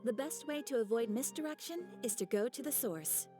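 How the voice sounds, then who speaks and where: A courteous guild receptionist acted by a woman in a fantasy game, with a fairly high voice and lively, swooping intonation. She speaks formally and professionally, over faint music. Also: lightly acted. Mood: polite, reassuring. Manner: polite, formal, measured, professional. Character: poised, courteous female adventurers' guild receptionist. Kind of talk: video game voice acting.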